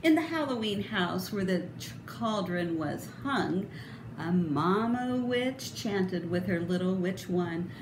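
Middle-aged female storybook reader, sing-song and very animated, unhurried. Roomy, noisy indoor recording. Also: on the low side. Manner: theatrical, emphatic, sing-song delivery. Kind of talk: expressive children's read-aloud. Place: indoor room. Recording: roomy echo, clear background noise.

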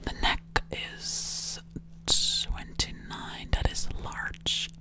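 A woman's voice: drawn-out words, sharp tone, exaggerated voice. A young woman voicing a sassy, bossy alien girl in an ASMR roleplay, whispering breathily up close and repeating short phrases insistently. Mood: bossy, probing. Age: young adult. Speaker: ASMR performer. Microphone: close to the mouth.

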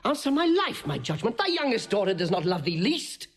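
High-voiced, defiant nobleman. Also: big pitch swings, fairly quick, full character voice. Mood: defiant, urgent. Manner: earnest, declamatory, outspoken. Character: blunt, loyal nobleman, passionate and defiant. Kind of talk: classical Shakespearean audio drama acting.

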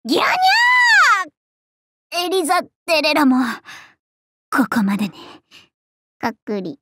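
A woman's voice: extremely high pitch, wildly swooping pitch, slow, pushed and bright, dry recording, exaggerated voice. A woman voicing a vain, bubbly teenage dragon-girl idol princess in an anime game, with theatrical, singsong, boastful delivery. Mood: dramatic. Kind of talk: anime game character voice lines.

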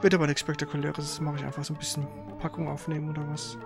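A man's voice: on the high side, monotone, rapid-fire, vocal fry. A man chatting casually and ramblingly as he co-hosts a live game stream. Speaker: streamer and co-host. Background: game music.

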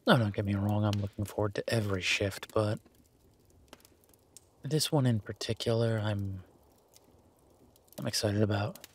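A man playing an affectionate, easygoing werewolf boyfriend in an intimate roleplay audio, with a husky, breathy voice and a soft, teasing manner. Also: fairly low pitch, steady tone, a little slow, long pauses, a little hiss or hum, natural voice. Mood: tender, excited. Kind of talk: intimate boyfriend roleplay audio, casual one-sided chat.

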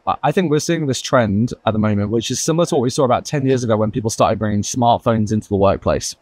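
A man speaking as a guest on a roundtable panel, talking quickly and emphatically with lots of asides. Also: on the low side, darkish tone, no room sound. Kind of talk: panel discussion.

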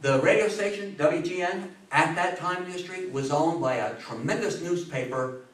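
An American man in his sixties speaks to a small audience like a lively storyteller. His voice sits a little high. He is picked up from a distance in a reverberant meeting room. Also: normal speed. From New York State, US. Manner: animated, theatrical storyteller with rhetorical questions. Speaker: lecturer giving a history talk. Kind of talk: interactive public talk to an audience. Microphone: far camera. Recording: echoey room, distant camera microphone.

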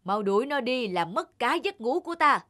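A man's voice: very high-pitched, big pitch swings, normal speed, heavy background noise. A man voicing a pompous old Jade Emperor in a folk-tale cartoon, imperious and declamatory. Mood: outraged. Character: pompous old male Jade Emperor, stern but remorseful. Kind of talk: animated folk-tale dialogue. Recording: loud music underneath.

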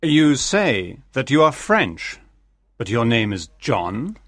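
A man playing a calm, exacting English gentleman of about forty in a dramatized audiobook, speaking formally and precisely. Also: medium pitch, slow pace, dry recording, studio quality, full character voice. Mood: questioning, cool.

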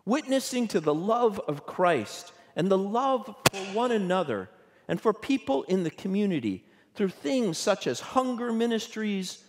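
An older man, a bishop, preaching a sermon from a pulpit in a church sanctuary, measured and questioning in tone. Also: high voice, big pitch swings. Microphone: pulpit microphone. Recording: long church reverb.

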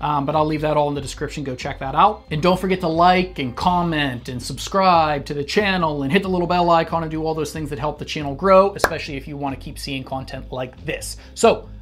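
A man's voice: on the high side, a little fast, few pauses, a bit bright. A man in his thirties, a channel host, chatting casually and explaining practical details in a small indoor studio. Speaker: channel host reviewing masks. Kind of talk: casual review talk.

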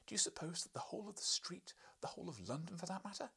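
A man voicing an indignant, scolding wife in an audiobook, with a breathy voice. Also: high-pitched, very animated, quick pace, pushed and bright, in a whisper, voice pushed up, full character voice. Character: indignant, scolding wife of a professor, high-strung woman. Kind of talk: audiobook character voice.

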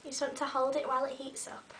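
A young woman with a cold talks casually as she curls her hair, her voice a little croaky and fairly high. She sits back from a webcam in a small bedroom, so she sounds a touch distant, with a faint hiss underneath. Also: monotone, fast, a bit bright. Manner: chatty, talks while styling hair, many ums. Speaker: beauty vlogger. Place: small bedroom with fairy lights.

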